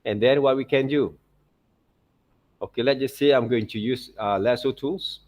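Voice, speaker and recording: A man's voice: mid-range voice, normal speed, a little mellow. Malaysian-accented man teaching a class. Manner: repeats tag words like okay and right. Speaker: art teacher. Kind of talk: informal software tutorial to students.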